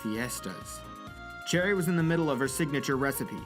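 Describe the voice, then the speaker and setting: A man's voice: medium pitch, expressive, fairly quick, over music. A man narrating a scripted story for an audio drama.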